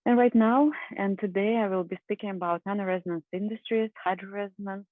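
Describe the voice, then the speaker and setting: A woman from Russia in her thirties giving an earnest conference talk over a video call, with frequent fillers and soft laughs. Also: medium pitch, steady tone, fairly quick, no echo. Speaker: conference speaker. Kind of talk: presentation talk. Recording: phone-like dry online call.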